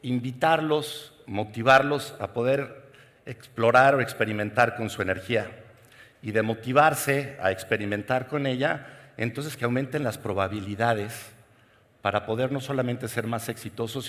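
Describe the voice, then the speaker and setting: A man's voice: medium pitch, measured pace, a bit bright. A middle-aged man from Mexico with a Mexican Spanish accent, giving a personal conference keynote talk to a large audience. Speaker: keynote speaker. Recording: long hall reverb.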